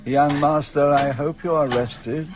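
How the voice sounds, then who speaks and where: Man as a slow, sinister, polite manservant. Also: on the high side, steady tone, lightly acted. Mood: mocking menace. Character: sinister manservant, mockingly polite to his young captive. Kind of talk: radio drama acting.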